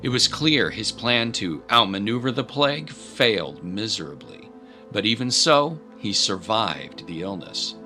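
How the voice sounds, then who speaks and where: Male narrator, grave scripted drama storytelling, lower register, over a music bed. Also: big pitch swings, measured pace, sharp tone.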